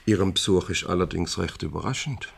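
Formal company director, low gravelly voice, Swiss accent, courteous, radio play comedy. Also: male voice, brisk, full character voice. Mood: guarded.

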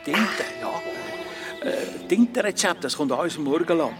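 A man's voice: fairly high pitch, lively intonation, measured pace, distant mic, over music. A man playing a falsely friendly medieval monk in a children's radio play, chatty and coaxing, with a Swiss German accent. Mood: musing.

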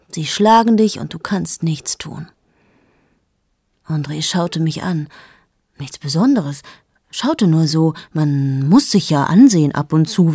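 A woman's voice: low-pitched, darkish tone, husky, no echo, clean studio sound, full character voice. An actress in her thirties narrating a radio play monologue as a restless female journalist, with intimate, confessional storytelling that often drops to a whisper. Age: 30s.

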